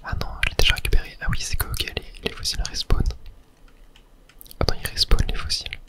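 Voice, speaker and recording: A man whispering soft, casual ASMR commentary to viewers while playing a video game, close to the microphone. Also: fast talker.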